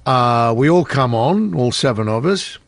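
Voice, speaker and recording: A man's voice: mid-range voice, animated, a little slow, dry recording. An older man, a musician guest, telling wry anecdotes in easygoing conversation. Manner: chatty, wry storyteller.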